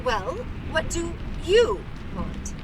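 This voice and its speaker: A woman's voice: sits high, big pitch swings, very slow, a little hiss or hum, full character voice, rough recording. A woman playing a practical, determined wife and mother in a comic radio play, pointed and chiding.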